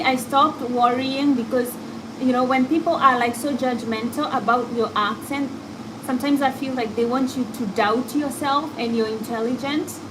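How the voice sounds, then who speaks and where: A woman with an African accent, talking casually and reflectively as a podcast host. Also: fairly high pitch. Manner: candid, reflective, talks to a guest and listeners. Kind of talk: casual chat. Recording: steady background noise.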